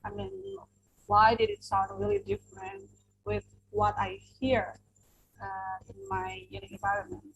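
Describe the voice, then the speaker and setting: A woman's voice: very high voice, big pitch swings, unhurried, gravelly, background noise. A young adult woman from Indonesia, an English teacher whose first language is Indonesian, answering interview questions earnestly with many fillers and restarts.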